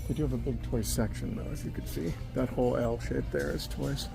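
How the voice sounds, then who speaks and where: A middle-aged man with a slightly raspy voice browses a thrift store and talks to himself in a relaxed, offhand way. His pitch sits in a plain middle range. Store music plays clearly in the background. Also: brisk. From Las Vegas area. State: slightly raspy from dust and a cough. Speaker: thrift vlogger. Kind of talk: casual thrift-store commentary.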